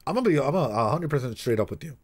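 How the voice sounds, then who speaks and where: A man streaming a casual read-aloud with commentary, talking in an animated, swearing way with frequent asides. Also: medium pitch, rapid-fire, breathy edge.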